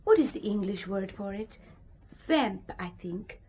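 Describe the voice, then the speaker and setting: An actress playing a frank, earnest young German woman with a German accent, speaking deliberately in an audio drama. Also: medium pitch, animated, darkish tone, slight background noise, lightly acted. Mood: wistful, frank.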